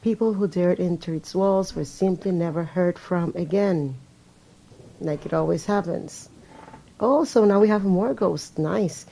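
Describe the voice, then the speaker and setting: A woman's voice: fairly low pitch, unhurried, gravelly, warm tone, low-quality recording. A woman reading game text aloud as a let's play streamer, breaking off for casual, sarcastic, wry commentary. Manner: sarcastic, wry, swearing asides.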